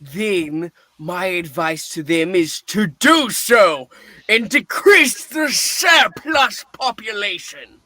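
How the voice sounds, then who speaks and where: A man acting out a miserly old boss with a high, bright voice. He speaks slowly and scornfully, snapping into curt barks, in radio play fashion. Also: lively intonation, no room sound, heavily acted. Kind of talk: theatrical radio play reading.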